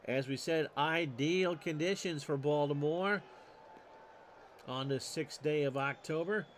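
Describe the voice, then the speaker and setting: A middle-aged man hosting and calling a simulated baseball game, folksy and quick to correct and joke about his own slips. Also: on the high side, a little hiss or hum. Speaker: host and play-by-play caller of a simulated baseball replay. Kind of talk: solo tabletop sports simulation commentary streamed live.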